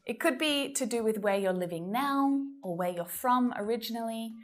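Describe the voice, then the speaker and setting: A woman's voice: mid-range voice, fairly bright tone. Patient woman teaching English. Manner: clear, patient, slows down to model example phrases. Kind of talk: English lesson to camera.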